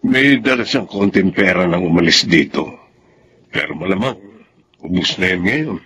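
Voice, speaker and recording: Casting: middle-aged Filipino patriarch, grave radio-drama delivery, lowish rough-edged voice. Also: male voice, lively intonation, normal speed, pushed and bright, exaggerated voice. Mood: worried. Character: grave middle-aged father burdened by a secret.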